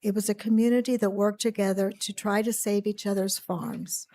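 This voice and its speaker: A woman with a raspy voice reading a prepared letter aloud as a public commenter at a county commission meeting. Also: mid-range voice, steady tone, normal speed, dark tone.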